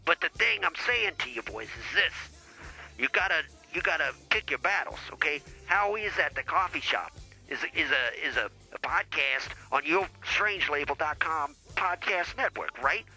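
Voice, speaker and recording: A man's voice: a little high, animated, normal speed, bright, forceful tone, faint music behind, heavily acted, rough recording. A man playing a blustering small-time lawyer in improvised comedy podcast banter, folksy and rambling, stumbling over his words. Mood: folksy defensive. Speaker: lawyer character, show guest.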